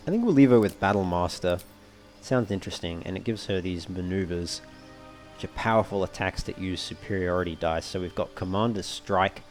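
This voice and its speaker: Low-voiced man narrating a video game. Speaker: gamer narrating a playthrough. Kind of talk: casual let's play commentary, often reading game text aloud.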